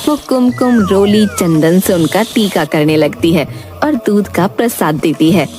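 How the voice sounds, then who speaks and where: A woman storyteller reading scripted narration for a children's animated fairy tale. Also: fairly low pitch, measured pace, soft background music.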